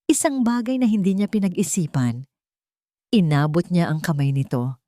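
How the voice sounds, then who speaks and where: A woman's voice: lowish voice, moderate pace, warm tone, dry recording, studio quality. A woman storyteller narrating a scripted romantic story.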